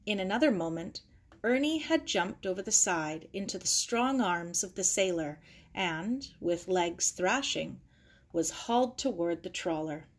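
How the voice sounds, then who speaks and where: A woman's voice: fairly low pitch, expressive, a little slow. A woman reading a children's novel aloud as scripted narration. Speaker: audiobook reader.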